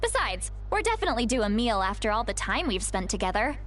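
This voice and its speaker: A woman playing a kind, self-deprecating guide in a video game, gentle, wistful and generous. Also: a little high, sing-song, fast talker. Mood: gently insisting. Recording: game music behind the voice.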